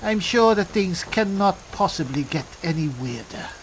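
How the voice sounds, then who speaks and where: A man playing a skeptical high elf spellsword, musing and sardonic in a roleplayed video game. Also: expressive, moderate pace, a little hiss or hum. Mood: musing, wry. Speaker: player roleplaying his game character.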